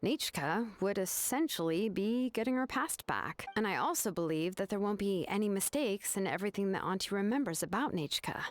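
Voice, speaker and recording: A woman voicing a composed, principled young researcher in scripted game dialogue, speaking in a measured, articulate, firm way. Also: mid-range voice, animated, natural voice. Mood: serious, reasoning.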